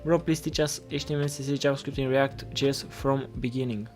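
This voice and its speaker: A man's voice: medium pitch, steady tone, darkish tone. Romanian man streaming over background music. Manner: halting, repeats words while reading chat. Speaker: live-stream host and coding tutor. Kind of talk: casual live coding tutorial with chat replies. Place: indoors at a computer desk. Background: music playing, keyboard typing.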